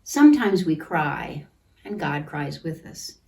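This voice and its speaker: Lady reading a kids picture book out loud, lowish voice, really slow and gentle, mic kinda far away. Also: expressive, gravelly. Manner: gentle, deliberate, pausing to comment on pictures and ask questions. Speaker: children's storytime reader at a church. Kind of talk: read-aloud picture book storytime for children. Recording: distant microphone.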